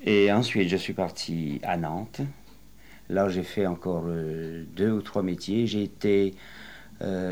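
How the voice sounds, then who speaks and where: Deep-voiced man in his sixties reminiscing. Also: a little mellow. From western France. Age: 60s. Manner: rambling, hesitant storytelling with many pauses. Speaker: retired interviewee. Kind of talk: oral history interview.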